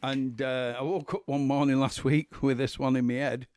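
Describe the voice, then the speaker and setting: A singer-songwriter from Manchester with a Mancunian accent, chatting casually and wryly at home while introducing a song. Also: male voice, mid-range voice, flat delivery, normal speed, darkish tone, dry recording. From Manchester, England. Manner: chatty, wry, broad humor. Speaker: singer-songwriter hosting a live stream. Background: guitar.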